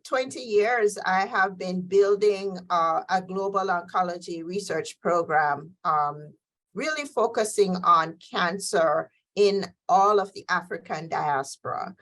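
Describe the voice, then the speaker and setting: A middle-aged woman from Jamaica, a guest scientist answering interview questions in a measured way with frequent fillers. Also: lowish voice, a bit bright.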